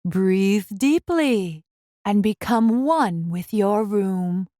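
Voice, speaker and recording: Lady doing a dreamy new-agey therapist woman from a kids story, super slow, soothing. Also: medium pitch, expressive, warm tone, no room sound, studio quality.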